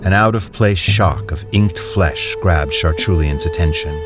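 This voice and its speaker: Deep-voiced somber male narrator. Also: loud music behind the voice. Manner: measured, somber storytelling. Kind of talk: scripted narration.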